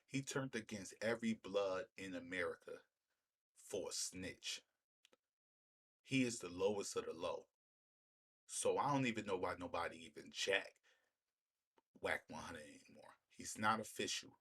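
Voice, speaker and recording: A man's voice: sits low, fairly bright tone, slightly rough. A man giving opinionated street-talk commentary in an emphatic, repetitive way, often using rhetorical questions. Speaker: commentator hosting a reaction video.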